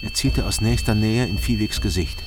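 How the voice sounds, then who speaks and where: A man's voice: lowish voice, normal speed, close to an everyday voice, rough recording. An actor narrating a radio drama as a world-weary, guilt-ridden man, in sober, terse sentences. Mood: tense, sober. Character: world-weary, guilt-ridden male narrator, haunted former border soldier. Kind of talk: scripted first-person radio drama narration. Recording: music behind the voice.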